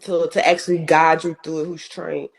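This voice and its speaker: A woman's voice: deep voice, little pitch movement, moderate pace, gravelly, husky. A woman with a strong voice giving earnest advice in a casual chat, repeating her points for emphasis. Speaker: guest in livestream chat.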